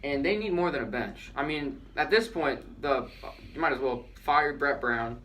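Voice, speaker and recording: A man's voice: on the high side, moderate pace, a bit bright, a little hiss or hum, poor audio. A man co-hosting a casual sports talk show, chatty and joking as he reads out trades and counts down. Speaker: podcast co-host.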